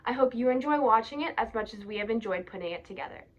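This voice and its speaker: A woman's voice: on the high side, lively intonation, fast, highs cut off. A young woman giving a prepared welcome speech as student director of a play. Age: young adult. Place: small plain indoor room.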